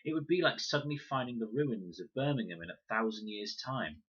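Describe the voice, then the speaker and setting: Storybook boy explorer, read by a man: earnest, keen explainer, bright husky tone. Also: fairly low pitch, animated, fairly quick, muffled recording, voice pushed up. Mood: eager, absorbed.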